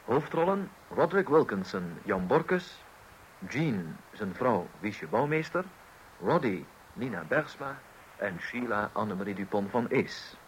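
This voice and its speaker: A man's voice: lowish voice, very animated, a little slow, poor audio. A man working as a radio announcer, reading scripted closing credits for a Dutch radio play. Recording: faintly hissy old radio recording.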